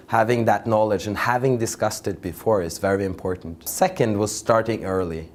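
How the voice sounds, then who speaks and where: A man's voice: on the low side, a little mellow, slight background noise. A young man from Norway in his twenties, a master's student earnestly giving advice in a testimonial interview.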